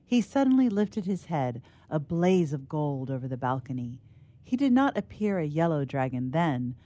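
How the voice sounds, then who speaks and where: A woman reading a literary tale aloud as a storyteller, in a measured, theatrical manner. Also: low-pitched, warm tone.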